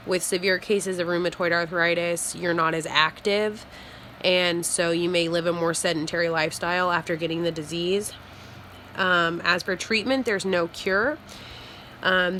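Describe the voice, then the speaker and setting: A young woman with a cold, sniffly and clearing her throat, giving an informal class presentation with many ums. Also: lowish voice, sharp tone, slight background noise. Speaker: student presenter.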